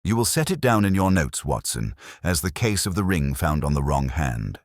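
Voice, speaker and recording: A man's voice: rumbling low, big pitch swings, normal speed, dry recording, clean studio sound. A man voicing a cerebral detective who speaks in a grave, didactic way with formal Victorian phrasing. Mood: reflective.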